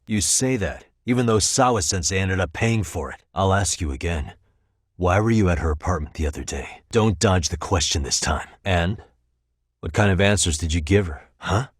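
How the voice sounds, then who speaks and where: A deep American male detective for a dramatic video game, recorded close and dry. He is composed and probing, questioning in a controlled, pointed way with a wry, hard edge and some huskiness to the tone. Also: expressive, normal speed, dry recording. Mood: stern, accusing. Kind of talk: video game voice acting, dramatic interrogation dialogue.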